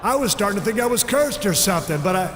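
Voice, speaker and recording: Suspicious questioning wrestler, high voice, roomy reverb. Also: male voice, lively intonation, fast, fairly bright tone, slight background noise. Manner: pressing, questioning, growing impatient. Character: suspicious male wrestler, confronting a friend.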